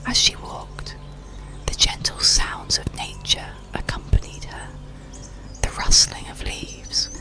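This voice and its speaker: A storyteller narrating an ASMR bedtime story in a slow, soothing, hushed whisper. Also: over music. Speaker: audiobook narrator.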